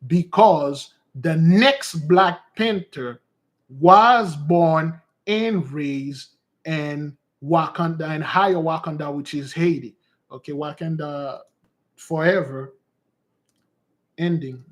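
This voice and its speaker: A man speaks in a somewhat high voice, teaching his listeners in a loose, unscripted way. He circles back and repeats himself as he goes. He calls his audience "beloved brothers and sisters" like a preacher. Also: slow. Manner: rambling and repetitive, addresses listeners as beloved. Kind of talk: informal teaching monologue.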